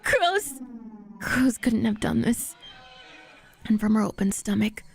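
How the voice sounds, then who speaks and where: Lady doing a bitter haunted teen boy narrating visual novel horror, lightish high voice, gravelly creak. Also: very animated, slow, over loud music, full character voice. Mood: horrified, sickened. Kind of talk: dramatic visual novel readalong.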